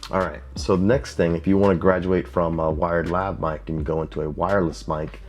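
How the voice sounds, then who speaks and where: A man with a very low, deep voice talks through a casual video tutorial in a plain-spoken way, often repeating himself for emphasis. He speaks into a lav mic at a home desk, with faint music in the background. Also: fairly even intonation, a little fast, a little mellow. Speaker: YouTube creator teaching video basics. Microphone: lav mic, wired then wireless.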